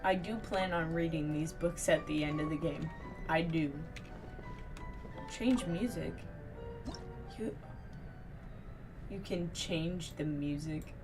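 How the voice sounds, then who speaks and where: A woman's voice: low-pitched, takes it slowly, long pauses. Woman streaming a game over music. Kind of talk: casual live gaming commentary and reading aloud.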